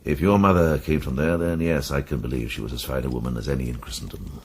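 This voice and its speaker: Very deep, gravelly old monk. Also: male voice, fast talker, full character voice. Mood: reflective. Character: worldly old monk, former crusader, gruff yet tender. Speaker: lead actor in a radio drama. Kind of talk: radio drama acting.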